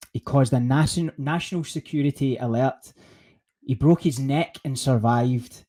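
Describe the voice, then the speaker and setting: A man's voice: medium pitch, mellow. A man hosting a podcast interview in a chatty way, often restarting and repeating words. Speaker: podcast host. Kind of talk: casual interview.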